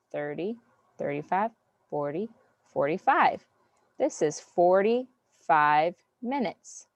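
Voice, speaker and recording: Woman teacher, slow patient delivery, dry close video-call sound. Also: mid-range voice, no echo. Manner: patient, step-by-step counting aloud. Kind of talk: online math class review.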